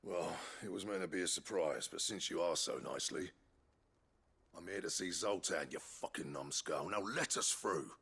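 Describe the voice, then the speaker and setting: An actor playing a gruff, proud master blacksmith who grumbles and swears bluntly in a video game. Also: male voice, deep voice, normal speed, fairly bright tone, full character voice. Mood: sarcastic, irritable. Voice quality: gravelly. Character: gruff, proud, foul-mouthed village-born master blacksmith.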